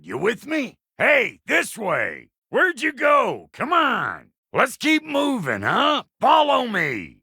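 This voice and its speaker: A man voicing a gruff, foul-mouthed dwarf blacksmith in a video game, with a gravelly drawl and a brash, folksy manner. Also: sits high, sharp tone, no room sound, studio quality, heavily acted. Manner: brash, folksy, cussing, barking warnings and taunts. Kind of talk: video game character voice lines.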